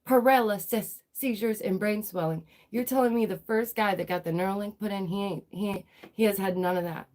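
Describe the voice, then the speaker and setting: A woman's voice: mid-range voice, steady tone, a little fast, darkish tone. A woman with a nasal, congested voice, still getting over a cold, hosts a live news commentary stream. She leans hard on emphasis, repeats lines for effect and keeps throwing rhetorical questions at her viewers. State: stuffy, recovering from a cold. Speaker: livestream host.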